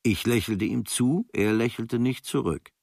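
A man reads a literary travel diary for radio as scripted narration, measured and in short, clipped phrases. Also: medium pitch, vocal fry, darkish tone, dry recording, clean studio sound. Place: dry studio.

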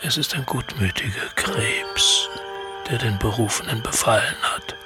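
A man performs a solemn, portentous chronicler in a radio drama. He speaks very slowly in a low, hushed voice, with prominent music behind him. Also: close to an everyday voice. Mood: solemn, ominous. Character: solemn, ominous male chronicler of a chosen one. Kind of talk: solemn radio play monologue.

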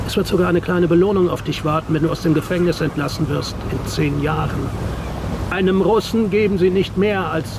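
A man's voice: fairly high pitch, brisk, gravelly. An actor playing a menacing male conspirator boss in a noir radio drama, with a calculating manner. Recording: loud background noise.

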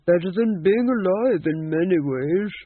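Guy doing a cheery old ghost king for an audiobook, helpful and chatty, husky, very up and down. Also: high-pitched, animated, a little slow, no echo, heavily acted. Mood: reassuring, rambling. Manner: breezy, helpful, rambling, matter-of-fact. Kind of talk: audiobook character voice. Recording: narrow, phone-like audiobook sound.

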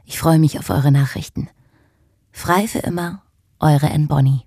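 A woman's voice: low voice, steady tone, unhurried, warm tone, breathy edge, no echo, studio quality. A woman reading a scripted podcast outro in the first person, as the series' heroine. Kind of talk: scripted narration.

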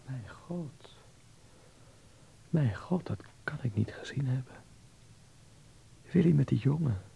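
A man's voice: mid-range voice, expressive, slow pace, gravelly, dark tone, lo-fi sound, a little hiss or hum, heavily acted. An actor in a radio drama playing a naive, prudish young Dutchman abroad who talks to himself and is easily flustered. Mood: shocked muttering. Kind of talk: radio drama monologue and dialogue.

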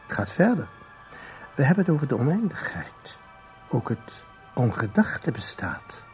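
Measured, learned time traveller. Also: male voice, mid-range voice, very animated, a little mellow, faint music behind, rough recording. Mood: didactic, composed. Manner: measured, didactic, formal. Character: calm, learned male time traveller, patiently explaining. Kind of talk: Dutch radio play acting.

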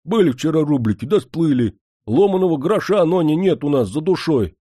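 Man playing a cheeky, loud peasant manservant. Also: fairly high pitch, lively intonation, moderate pace, husky, no room sound, studio quality, voice pushed up. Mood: mocking. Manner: folksy, loud, mocking. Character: cheeky, blunt peasant manservant in historical Russia.

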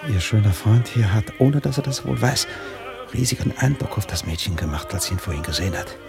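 An actor in a German radio play voicing a fretful, sardonic theatre director with a gravelly, grumbling, ironic delivery. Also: male voice, lowish voice, lively intonation, brisk, music in the background. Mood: worried, grumbling.

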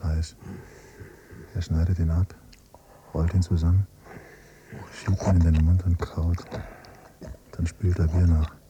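An actor playing a brooding, detached adult son in a radio drama, narrating in terse, subdued phrases. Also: male voice, deep voice, level tone, takes it slowly, long pauses, lo-fi sound. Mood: brooding disgust. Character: brooding, detached adult son, quietly disgusted by his father. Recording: noisy, humming cassette copy.

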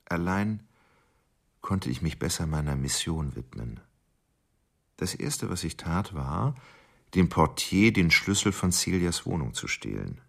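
Radio drama killer: obsessive, fastidious, calculating, gravelly, with expressive swings in pitch. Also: male voice, heavily acted. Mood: smug, detached. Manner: deliberate, fastidious confessional monologue. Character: coldly obsessive married man plotting murder. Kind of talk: radio drama interior monologue.

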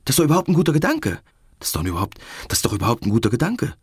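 A man's voice: mid-range voice, huge pitch swings, rapid-fire, husky. A man playing a naive, romantic banker in a radio play, speaking earnestly in a natural, slightly rambling way. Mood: musing, naive. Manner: rambling, repeats himself, earnest. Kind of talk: naturalistic radio drama acting.

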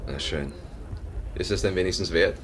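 An actor playing a dry, sardonic, long-suffering husband in a 1950s German radio play. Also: male voice, low-pitched, moderate pace, slight background noise, natural voice. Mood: grumbling.

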